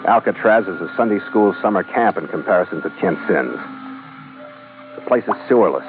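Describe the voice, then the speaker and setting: An actor playing a tough, sardonic American ship captain with an American accent, narrating with dry wit in an old radio drama. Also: male voice, deep voice, noisy background, lightly acted. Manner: hard-boiled, dry wit. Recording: tinny old radio recording with music.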